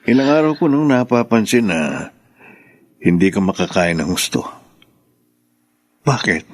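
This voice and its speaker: Radio drama forgiving husband: lowish, slightly rough voice, sincere, tender, respectful. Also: male voice, very animated, unhurried, dry recording. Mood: tender concern. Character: gentle, forgiving husband and son-in-law. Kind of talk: Tagalog radio drama acting.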